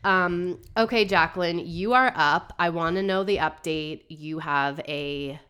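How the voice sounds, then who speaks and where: A woman co-hosting a casual podcast chat, slightly husky from a cold and quick with sarcastic jokes. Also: fairly low pitch, fairly bright tone. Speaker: podcast co-host. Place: New York.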